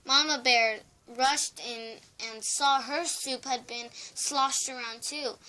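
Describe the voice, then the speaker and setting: A young woman narrates a children's storybook. Her voice is high and bright, and she reads slowly and carefully, sometimes repeating a word. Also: steady tone, rough recording. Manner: careful reading with stumbles and repeated words. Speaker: storybook reader.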